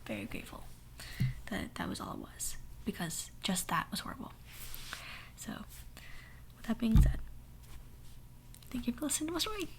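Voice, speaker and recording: A young woman with a hoarse voice telling a sassy, dramatic story in a soft whisper. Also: medium pitch. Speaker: ASMR storyteller. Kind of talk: whispered ASMR story time.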